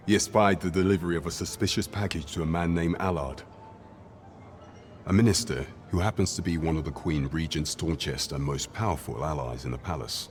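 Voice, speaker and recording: Royal officer: extremely low voice, discreet, grave, deferential archaic phrasing, fantasy game with music underneath. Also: male voice. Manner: formal, deferential archaic speech. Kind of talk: scripted fantasy game dialogue.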